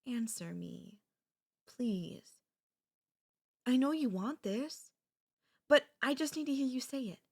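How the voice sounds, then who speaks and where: A young woman playing an obsessive, possessive kidnapper and childhood friend in an ASMR audio roleplay, speaking in a soft, intimate, pleading manner. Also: sits high, lively intonation, slow, long pauses, breathy edge, full character voice.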